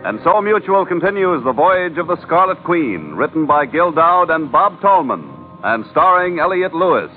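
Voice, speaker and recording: A male announcer with a slightly high voice delivers grand, dramatic 1940s radio narration. Loud music plays behind him, and the recording is narrow and telephone-like. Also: very animated, moderate pace, hardly any pauses. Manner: grand, declamatory. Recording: thin old radio broadcast recording.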